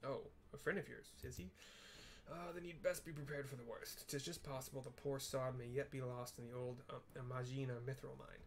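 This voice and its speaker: A man's voice: mid-range voice, fairly even intonation, a little fast, natural voice. Man reading a weary female sellsword. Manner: dry, world-weary storytelling, archaic phrasing.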